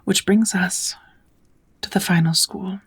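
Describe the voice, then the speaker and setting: A woman voicing a kind, patient witch in a soft, often whispered ASMR roleplay, teaching magic in a gentle, measured, slightly formal way. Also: medium pitch, steady tone, breathy edge. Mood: calm, patient.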